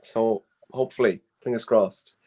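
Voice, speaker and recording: A man's voice: on the low side, fairly even intonation, normal speed, dark tone, husky. A man hosting a live online comedy show. He chats and jokes in a loose, rambling way. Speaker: host.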